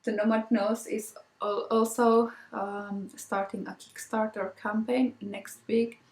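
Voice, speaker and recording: A woman with a Finnish accent, a native Finnish speaker, hosting a casual knitting vlog, soft-spoken with frequent ums and repeated words. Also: mid-range voice, little pitch movement, slow pace, echoey room. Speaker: knitting podcast host. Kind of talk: casual show-and-tell vlog.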